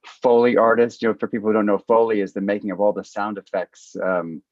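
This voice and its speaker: A man hosting a panel discussion over a video call, speaking haltingly and with appreciation for his guests. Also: fairly low pitch, steady tone, dry recording, highs cut off. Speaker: host and moderator of a panel.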